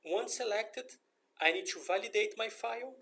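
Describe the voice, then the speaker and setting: A man narrating a step-by-step software screen tutorial in a methodical, explanatory manner. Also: sits high, normal speed, husky. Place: voice-over for a screen recording.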